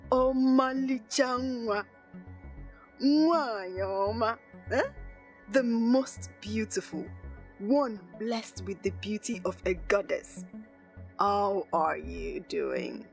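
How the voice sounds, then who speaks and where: A woman storyteller playing a smooth, flattering young village man courting maidens in a folktale. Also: mid-range voice, extremely slow, pauses often, soft background music. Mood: admiring.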